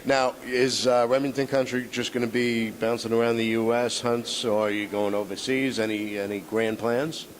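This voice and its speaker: A man's voice: medium pitch, level tone, normal speed, few pauses. A man with a New York accent asks the questions in a friendly, encouraging way. His voice sits in a middle range, with faint noise in the background. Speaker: interviewer. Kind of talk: casual interview questions.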